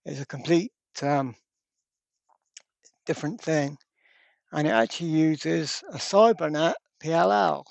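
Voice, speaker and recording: Creaky-voiced man chatting about gear. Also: on the high side, unhurried, long pauses, no room sound. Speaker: host of a radio repair channel. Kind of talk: casual hands-on product talk.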